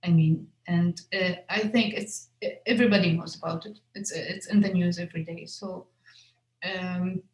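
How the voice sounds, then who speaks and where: A woman's voice: on the low side, steady tone, moderate pace, echoey room, rough recording. A young woman, a film director whose first language is Arabic, answering questions at a festival Q&A with many fillers and restarts. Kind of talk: festival Q&A conversation.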